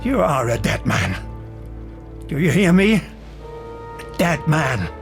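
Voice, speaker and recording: Villainous commander, game cutscene: slow threats, theatrical swings, music underneath. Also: male voice, on the high side, big pitch swings, heavily acted. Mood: cold threat. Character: menacing male Nazi commander, villainous, coldly threatening.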